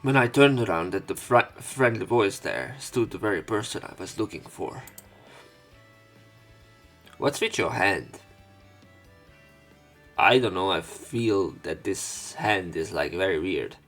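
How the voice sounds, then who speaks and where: Low-voiced man narrating a game. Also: lively intonation, unhurried, long pauses, a bit bright, soft background music. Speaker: let's play host. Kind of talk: narration and commentary of a visual novel.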